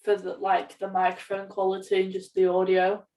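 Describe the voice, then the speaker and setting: A woman's voice: medium pitch, completely flat delivery, normal speed, a little mellow. A woman co-hosting a podcast in casual, conversational chat. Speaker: podcast co-host. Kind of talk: casual podcast chat about films and games. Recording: echoey room, distant from mic.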